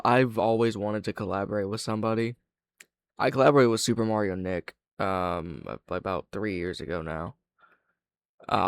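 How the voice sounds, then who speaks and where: A man's voice: lowish voice, no room sound. A young man giving casual, frank commentary as a reaction video host and reviewer, opinionated and prone to swearing.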